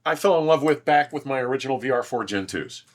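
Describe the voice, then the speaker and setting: A man's voice: medium pitch, animated, quick pace. A man in casual, enthusiastic conversation as an audio reviewer hosting a show, speaking emphatically and with strong opinions. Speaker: host, audio equipment reviewer. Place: large, minimally treated listening room.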